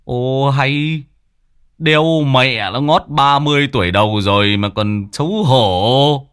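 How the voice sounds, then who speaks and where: A man playing a brusque village husband who snaps at his wife in quick, scolding retorts. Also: medium pitch, fairly bright tone. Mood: impatient. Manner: gruff, scolding, rapid retorts.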